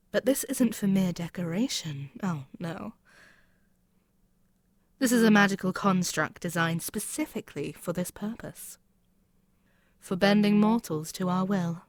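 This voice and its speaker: An imperious demon queen, acted by a woman in a scripted audio roleplay. Her voice sits fairly low and is recorded close and dry. She is haughty, scheming and exasperated, delivering taunts and threats with theatrical menace. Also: no echo, natural voice. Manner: haughty, taunting, theatrical, dropping at times to near-whisper. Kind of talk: scripted ASMR audio roleplay, dramatic monologue.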